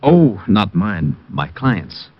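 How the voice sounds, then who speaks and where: A shady, businesslike lawyer speaks in a very deep voice with lively inflection. He is measured and evasive, heard on a dull old radio recording. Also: a little hiss or hum, exaggerated voice. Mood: guarded, businesslike. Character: bearded male attorney, guarded and businesslike shady client. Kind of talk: 1950s radio drama acting.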